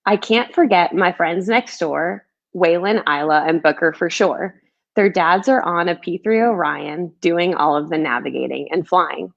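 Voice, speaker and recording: A woman's voice: on the low side, unhurried, creaky, dull audio. A woman who is a children's book author, speaking in a storybook read-aloud or chatty setting. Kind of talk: storybook read-aloud, rhyming, then casual chat.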